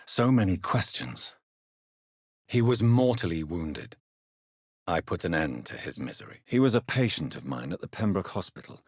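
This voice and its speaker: Brooding british vampire doctor for a game, low husky voice, super formal and precise. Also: male voice, no room sound, clean studio sound, exaggerated voice. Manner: formal, measured, precise diction. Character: grave, refined male doctor turned vampire, gentlemanly and brooding. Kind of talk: video game character dialogue.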